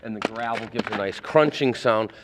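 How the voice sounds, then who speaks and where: A man's voice: on the low side, moderate pace, long reverb tail, background noise. A man explaining things as a theater director in an interview, wordy and somewhat hesitant.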